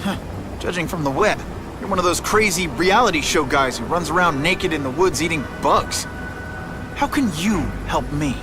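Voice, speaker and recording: A man's voice: on the high side, expressive, moderate pace, close to an everyday voice. A man as a quippy young superhero. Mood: casual. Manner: joking, casual talk. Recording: game music behind.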